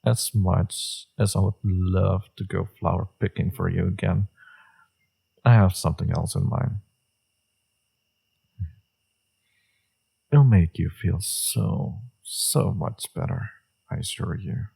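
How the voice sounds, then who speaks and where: A man playing a flirtatious, teasing villain with a secretly tender side in an intimate romantic audio roleplay, his voice husky and murmured. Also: fairly even intonation, mellow, exaggerated voice. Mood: coaxing, caring. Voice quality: low, husky, gravelly, breathy. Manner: slow, teasing, murmured delivery.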